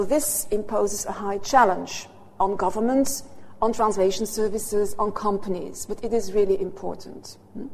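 A woman's voice: mid-range voice, warm tone. A Belgian woman, a native Dutch speaker with a Flemish accent, lecturing in English and checking in with her audience through short "hmm?" tags. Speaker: university lecturer. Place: lecture hall. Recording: hall reverb.

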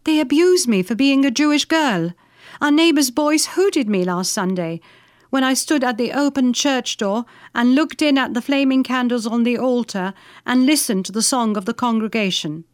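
Woman as gentle servant girl, devout, earnest, solemn, dutiful, storybook dialogue. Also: on the high side, expressive, normal speed, dry recording, studio quality, natural voice. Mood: fervent resolve.

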